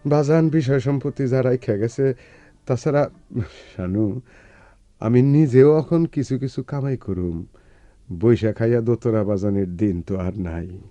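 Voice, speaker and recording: An actor playing an earnest, philosophical village folk musician in a radio drama, speaking reflectively in a rural Bangladeshi accent. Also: male voice, mid-range voice, a little slow, long pauses, warm tone, dry recording, lightly acted.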